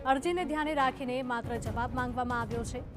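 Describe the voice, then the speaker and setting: A woman's voice: sits high, fairly even intonation, fast, music in the background. A woman reading scripted news narration.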